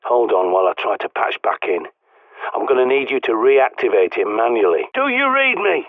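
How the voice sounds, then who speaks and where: An actor playing a gruff, bossy middle-aged survivalist in a video game, blunt and sardonic, heard through a radio filter. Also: male voice, medium pitch, sing-song, normal speed, dry recording. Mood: terse, practical, then urgent shouting. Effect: radio transmission filter. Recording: tinny, band-limited radio with static.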